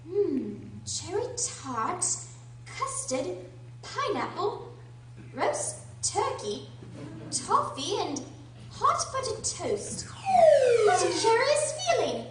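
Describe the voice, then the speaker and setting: A woman's voice: very high-pitched, a bit bright, heavily acted. A woman playing a curious, fretful little girl who thinks aloud theatrically in a radio drama. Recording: roomy, distant, faint noise and hum.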